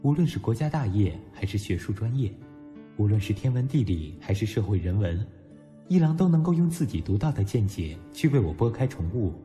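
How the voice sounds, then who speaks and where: A man playing a blunt, teasing young university student who is quick to flare up, in an audio drama that he also narrates. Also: on the low side, sing-song, mellow. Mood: reflective narration. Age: young adult. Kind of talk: Mandarin audio drama acting and first-person narration. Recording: background music under the voice.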